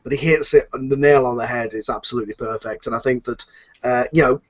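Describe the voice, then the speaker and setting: A man hosting a casual cast Q&A as the series writer, talking in a rambling, halting way and often interrupting himself. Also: medium pitch, steady tone, lo-fi sound. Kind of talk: casual online cast Q&A chat.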